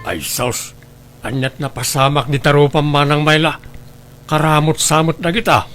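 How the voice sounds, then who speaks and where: Man as concerned relative, slightly high voice. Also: steady tone, moderate pace, a bit bright, faint music behind, natural voice. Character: concerned, helpful male relative of a troubled family. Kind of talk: Ilocano radio drama acting.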